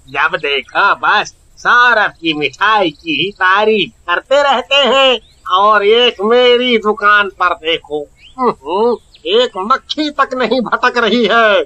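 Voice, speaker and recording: A jealous cartoon sweet seller, played by a man, always grumbling with spite. His voice is piercingly bright and rather high. He stretches his words slowly and theatrically. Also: exaggerated voice. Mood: bitter, resentful. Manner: grumbling, exaggerated. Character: jealous, spiteful male village sweet-shop owner. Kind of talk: cartoon acting.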